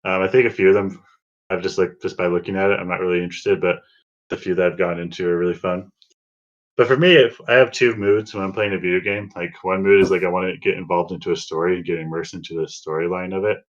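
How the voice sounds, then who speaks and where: A man's voice: low-pitched, steady tone, quick pace. A man co-hosting a podcast in casual conversation about video games, heard through a lo-fi microphone. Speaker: podcast co-host. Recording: dull, lo-fi microphone sound.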